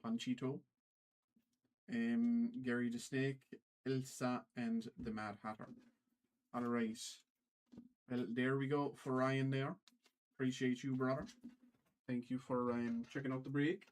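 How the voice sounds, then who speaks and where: A man with a medium-pitched voice hosts a casual trading card livestream in a put-on Irish accent. He calls out items in a halting, stop-start way and often repeats his phrases. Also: flat delivery, a little mellow, poor audio. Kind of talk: casual livestream commentary, calling out cards.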